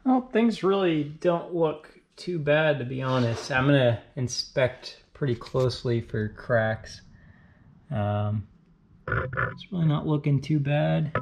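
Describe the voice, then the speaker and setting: A man's voice: a little high, slow, creaky, slight background noise. A man in his thirties talking casually to the camera as he takes apart a car engine in his home garage, thinking aloud with fillers and trailing doubts. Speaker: car channel host. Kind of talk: casual garage commentary while working. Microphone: action camera on body and tripod.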